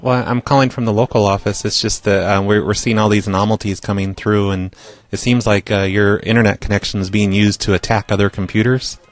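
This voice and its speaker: A man's voice: lowish voice, fairly even intonation, fairly quick, no echo. A man who hosts a prank call show, talking in a casual, informal, needling way with some hesitation. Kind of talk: casual prank calling and chat.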